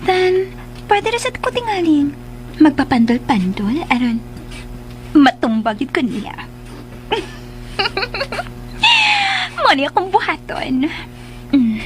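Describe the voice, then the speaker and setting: A woman's voice: extremely high pitch, wildly swooping pitch, takes it slowly, pauses often, slight background noise, exaggerated voice. A woman playing a scheming, flirtatious woman in a radio drama, coy, theatrical and self-satisfied. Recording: radio drama with loud music underneath. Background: loud music.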